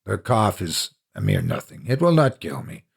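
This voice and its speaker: A man's voice: low voice, wildly swooping pitch, normal speed, vocal fry, breathy edge, heavily acted. A man voicing a drunken, boastful nobleman in a gothic horror story, exclaiming in disbelief and waving things away. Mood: dismissive, boastful. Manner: incredulous, exclaiming, dismissive. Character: drunken, boastful nobleman in carnival motley, coughing.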